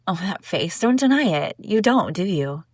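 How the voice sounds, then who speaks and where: A woman playing a sharp-tongued young princess bride who is secretly lovesick, in an intimate audio roleplay, teasing and indignant with sighs and broken-off phrases. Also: medium pitch, very animated, measured pace, no room sound, clean studio sound. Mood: indignant, proud. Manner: teasing, indignant, sighing, breaking off mid-sentence. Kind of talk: intimate scripted audio roleplay addressed to listener.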